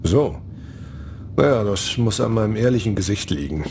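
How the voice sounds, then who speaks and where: A man's voice: on the low side, animated, moderate pace, darkish tone, a little hiss or hum. An actor playing a brooding, earnest young male spaceship captain in a sci-fi audio drama. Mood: subdued. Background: music.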